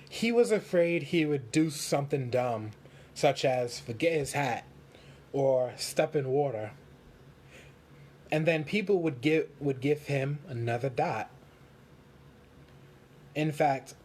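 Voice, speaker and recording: A man reading a storybook aloud for children in simple, deliberate phrases. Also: medium pitch, darkish tone, slight background noise.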